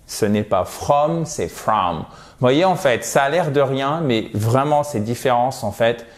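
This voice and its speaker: A man's voice: medium pitch, expressive, a little slow. A man teaching English vocabulary in a video lesson, patient and explanatory, a native French speaker who repeats words to model their pronunciation.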